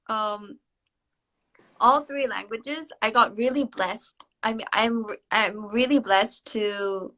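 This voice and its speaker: A woman's voice: fairly high pitch, pushed and bright, poor audio. A woman from Indonesia, a guest in a casual live-stream chat, who answers thoughtfully and hesitantly with many fillers and laughs often. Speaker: interview guest.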